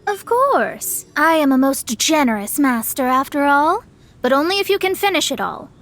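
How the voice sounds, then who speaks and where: Haughty young lady astrologer, high voice, self-important, lecturing, video game character. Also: sing-song, normal speed, fairly bright tone, faint music behind, exaggerated voice. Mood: lofty.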